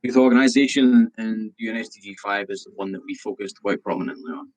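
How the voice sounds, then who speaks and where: A man's voice: on the low side, animated, quick pace, darkish tone, poor audio. A man from Scotland with a Scottish accent, giving a casual, unscripted answer as a webinar panelist. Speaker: webinar panelist, youth sports worker.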